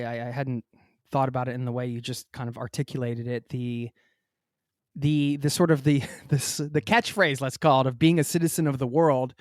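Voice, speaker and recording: A podcast host from Pennsylvania talks in an easygoing way and winds through long questions full of restarts. His voice is medium-pitched, and the recording is dry and close, with no room sound. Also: dry recording. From Pennsylvania, United States. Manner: rambling, searching for words, many restarts. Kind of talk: casual interview chat.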